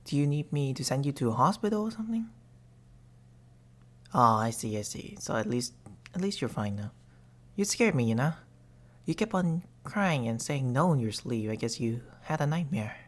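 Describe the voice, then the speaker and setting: A woman's voice: a bass voice, animated, moderate pace, long pauses. A woman playing a caring, exasperated romantic partner in a casual ASMR roleplay, talking with fussing concern into a close binaural microphone. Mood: relieved, soothing.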